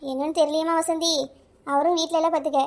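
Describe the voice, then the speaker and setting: High, concerned village neighbour woman, acted. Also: flat delivery, fast talker, darkish tone, natural voice. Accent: southern Tamil Nadu.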